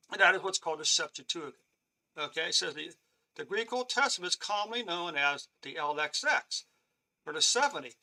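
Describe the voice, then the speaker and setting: Male Bible teacher, high and very bright voice, halting delivery, clears his throat often. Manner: checks in with "okay?" often; reads from on-screen notes and explains them. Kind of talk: live-streamed Bible lesson.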